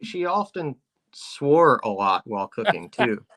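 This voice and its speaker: A man's voice: on the high side, big pitch swings, unhurried, a bit bright, slight background noise. A man from Pennsylvania joking in a casual chat, delivering tall tales with a straight face. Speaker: co-host.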